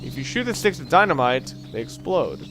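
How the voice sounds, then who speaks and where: A man hosting a let's play, commentating casually on a video game in a rambling, halting way with dry joking asides. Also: medium pitch, very animated. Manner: rambling, halting self-corrections. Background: video game music.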